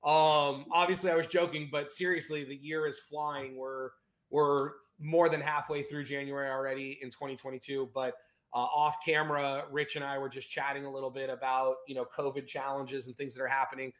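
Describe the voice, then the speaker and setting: A man's voice: mid-range voice, fairly quick, roomy sound. A man hosting a casual video interview, talking in an upbeat, chatty way. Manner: upbeat, chatty, energetic opener. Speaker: host.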